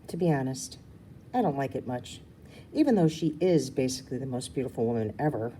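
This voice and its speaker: Female storybook reader, low and mellow, informal, lively intonation, small slips left in. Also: fairly quick, slight background noise, poor audio. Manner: stumbles and corrects herself. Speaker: reader of a children's novel. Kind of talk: storybook read-aloud, informal.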